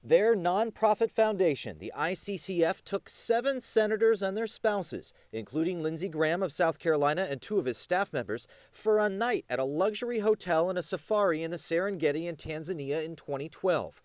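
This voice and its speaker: Animated high-pitched male news reporter. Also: a little fast, few pauses, dry recording. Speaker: television news reporter and anchor. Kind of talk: scripted TV news narration.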